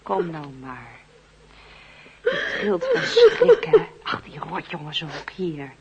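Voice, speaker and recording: A woman's voice: low-pitched, huge pitch swings, takes it slowly, a little mellow, a little hiss or hum, heavily acted. A kind, motherly comforter. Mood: soothing. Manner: soothing, reassuring. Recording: dull lo-fi recording with crowd.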